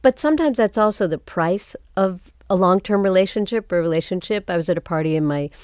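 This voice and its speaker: A woman's voice: fairly low pitch, brisk, darkish tone, smooth, dry recording, clean studio sound. A woman chatting casually and sharing anecdotes as a panelist. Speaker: panelist discussing a play.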